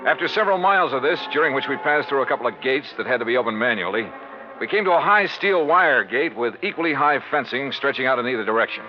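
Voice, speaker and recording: A man's voice: medium pitch, lively intonation, fast talker, close to an everyday voice. An American actor playing a wry male insurance investigator in a 1950s radio detective drama, telling his story in a clipped, colorful way. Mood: wry narration. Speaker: lead actor and narrator. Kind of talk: 1950s radio detective drama, first-person narration and dialogue. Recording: dull vintage radio recording, music.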